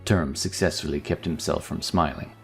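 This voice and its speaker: A man's voice: extremely low pitch, fairly even intonation, fairly quick, vocal fry. A man reading scripted narration for a fantasy audio story. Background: background music.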